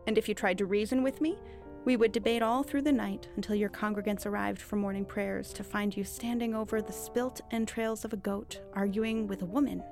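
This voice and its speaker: A woman's voice: mid-range voice, fairly even intonation, fairly quick, vocal fry, darkish tone, soft background music, lightly acted. An actress playing a cunning, bookish thief posing as a witch in an audio drama, articulate, mock-courteous and teasingly self-assured. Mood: assured, pressing. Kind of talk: audio drama acting, period dialogue.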